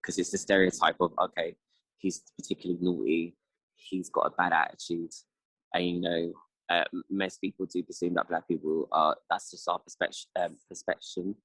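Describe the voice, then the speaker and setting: A man's voice: low-pitched, flat delivery, normal speed, a bit bright, husky, dry recording, low-quality recording. A man, answering interview questions in a reflective, casual way, with many fillers. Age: 30s. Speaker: interview guest.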